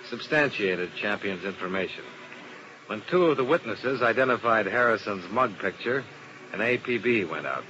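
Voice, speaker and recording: A man's voice: fairly low pitch, lightly acted. An actor playing a hard-boiled male insurance investigator who narrates the case in a clipped, matter-of-fact way, in a 1950s radio drama. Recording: muffled old radio recording with hiss.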